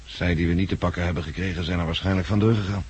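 An actor playing a plain-spoken, stoic blind companion in a 1960s Dutch radio drama. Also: male voice, sits low, fairly even intonation, rapid-fire, breathy edge. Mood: matter-of-fact. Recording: noisy vintage 1969 radio recording.

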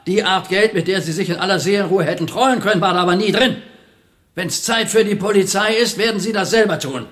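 A man's voice: sits high, brisk, fairly bright tone. An actor playing a shrewd, unflappable, coolly decisive man in a German radio drama. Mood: firm, sardonic.

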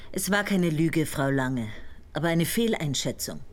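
A cool police colonel. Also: female voice, low voice, unhurried. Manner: calm, dry and matter-of-fact. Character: level-headed Austrian woman colonel. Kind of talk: radio drama narration and dialogue.

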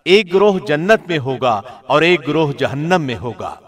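Solemn, slightly high-voiced man reading scripture. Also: sing-song. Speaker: Quran translation narrator.

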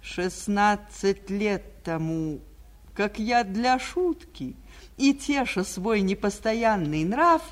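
An actress playing a stately, tender spirit of spring, declaiming lyrical verse in a 1940s radio fairy tale. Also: female voice, medium pitch, animated, takes it slowly. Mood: rueful.

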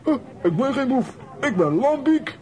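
A man's voice: extremely high pitch, big pitch swings, unhurried, warm tone, full character voice. A man playing a blustering, hot-headed comic character in a children's radio play, exclamatory and indignant.

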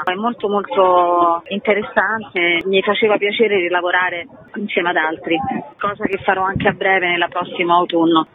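A woman's voice: fairly low pitch, slight background noise. A woman theatre author and actress giving a conversational interview over a narrow phone line, explaining her work reflectively. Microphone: telephone.

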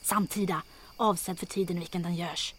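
A woman playing a sharp, pedantic night raider in an audio drama, speaking in a hushed, matter-of-fact way. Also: fairly low pitch, steady tone, a little hiss or hum, close to an everyday voice. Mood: hushed, correcting.

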